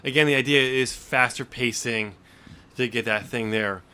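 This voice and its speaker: Male podcast host and writer, bright forward voice, mid pitch, relaxed conversational discussion. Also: normal speed, clear, resonant tone. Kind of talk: casual discussion.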